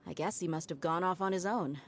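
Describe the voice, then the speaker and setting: A woman's voice: on the low side, a little fast, slight background noise, natural voice. A woman playing a confident young female desert mercenary in a video game, talking in a breezy, self-assured way. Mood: casual, thoughtful.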